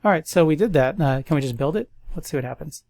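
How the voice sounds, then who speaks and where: A man's voice: on the high side, fast talker, no room sound, clean studio sound. A man with a stuffy nose, casually thinking aloud as he walks through a coding tutorial. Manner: thinks aloud, relaxed and chatty. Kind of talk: casual screen-recorded coding walkthrough.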